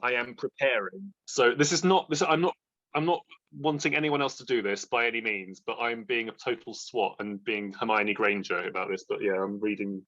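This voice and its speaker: A man's voice: mid-range voice, normal speed, fairly bright tone, dull audio. A man in his thirties chatting casually and enthusiastically about books, joking at his own expense as he co-hosts. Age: 30s. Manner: enthusiastic, jokey, self-deprecating. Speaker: book vlogger and readalong co-host. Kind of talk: casual unscripted book chat.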